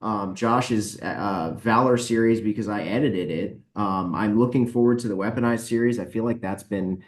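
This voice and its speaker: A man's voice: fairly low pitch, normal speed. A man answering interview questions casually as a podcast guest, informal, emphatic and inclined to ramble. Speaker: podcast guest, publisher and author. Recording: roomy, echoing voice recording.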